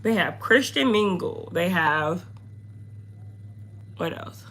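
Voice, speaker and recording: Hoarse, gravelly young woman chatting with viewers. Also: expressive, slow pace, a bit bright. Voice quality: low, slightly deep voice. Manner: chatty, talks to viewers, drifts off topic. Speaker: makeup streamer. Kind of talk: casual livestream chat reading comments.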